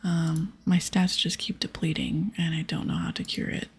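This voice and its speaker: A woman softly whispering casual ASMR game commentary, thinking aloud as she plays. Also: low-pitched, expressive, moderate pace, gravelly, slightly rough. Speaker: ASMR gaming channel host. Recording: soft music behind.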